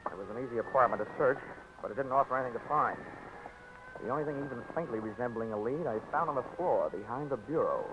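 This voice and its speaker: A man's voice: medium pitch, animated, quick pace, noisy background, natural voice. An actor playing a dry, wisecracking insurance investigator who narrates his own case in a 1940s radio drama. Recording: dull old radio broadcast with music.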